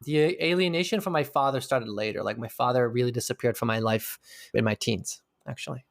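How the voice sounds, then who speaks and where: A man's voice: mid-range voice, brisk, creaky, no room sound, studio quality. A man being interviewed, talking in a thoughtful, searching way and swearing casually now and then. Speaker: interview guest. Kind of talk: reflective conversational interview.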